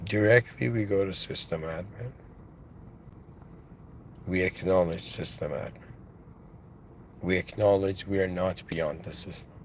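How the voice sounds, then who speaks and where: A man with an accent in English, giving a reflective spiritual monologue as a channel host, halting and musing, with restarts and devout phrases. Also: sits low, slight background noise. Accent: non-native English.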